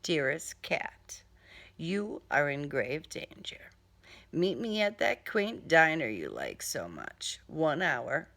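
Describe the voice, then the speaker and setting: A woman voicing a menacing, courtly male serial killer in an audiobook, hushed, deliberate and mock-polite. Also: sits low, big pitch swings, gravelly, voice pushed down, full character voice. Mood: quietly menacing.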